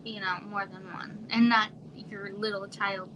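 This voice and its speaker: A woman from Arizona in her thirties, chatting casually on a home livestream in a quiet, soft voice that trails off and sometimes drops to a whisper. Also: medium pitch, little pitch movement, unhurried. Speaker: livestream host. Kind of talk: casual live chat with viewers. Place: home room. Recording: noisy home livestream audio.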